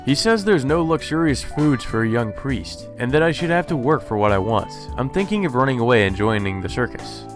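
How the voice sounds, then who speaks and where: A man voicing a polite high school boy, a priest's son with formal phrasing, reading visual novel dialogue aloud. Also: lively intonation, fairly quick, few pauses, natural voice. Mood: grumbling. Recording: background music under the voice.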